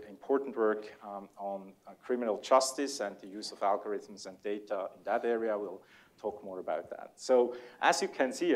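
Swiss German man moderating, courteous and halting. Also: lowish voice, darkish tone. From Switzerland. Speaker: panel moderator. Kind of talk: introducing panelists at a public discussion. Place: large lecture hall before a seated audience, on stage.

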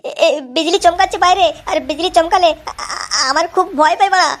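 An actor voicing a crude, excitable young cartoon man who stammers and swears in an exaggerated comic style. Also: very high-pitched, brisk. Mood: nervous, lustful. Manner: stammering, repeats words, swears constantly. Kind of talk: exaggerated comedy cartoon voice acting.

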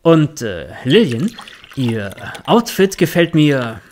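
A man's voice: fairly high pitch, big pitch swings, takes it slowly, a little mellow, slight background noise. An actor playing a smug, demanding, lecherous male boss in an audio drama, talking in a commanding way. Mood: smug, leering. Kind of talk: German audio drama acting.

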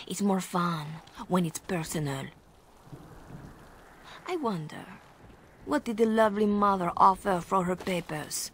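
A woman's voice: mid-range voice, close to an everyday voice. An actress playing a scheming, seductive female spymaster villain in a game, mocking and silky. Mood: mocking, amused. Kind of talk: dramatic game dialogue. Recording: faint background noise.

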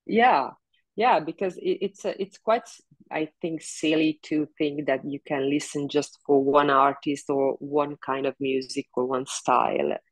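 Slow, low-voiced woman singer on a call. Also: dry recording. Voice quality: big low speaking voice. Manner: halting, many fillers, laughs often. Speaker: singer, interview guest. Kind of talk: casual video call interview. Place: home room, seated in office chair.

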